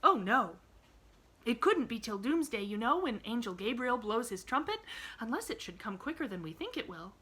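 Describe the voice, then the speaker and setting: A woman's voice: a little high, sing-song, moderate pace, bright, forceful tone, breathy edge, pitched above the performer's own voice, full character voice. A woman voicing an eager, talkative, glad little girl from a storybook, rambling earnestly with a rising inflection.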